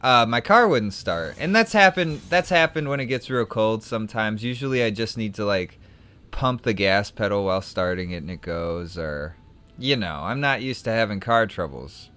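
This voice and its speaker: A man's voice: on the low side, expressive, moderate pace, pushed and bright, clean tone. A young man casually chatting while streaming a card game, telling a rambling story with frequent restarts. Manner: rambling, self-correcting storyteller. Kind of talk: casual gameplay chat and anecdote.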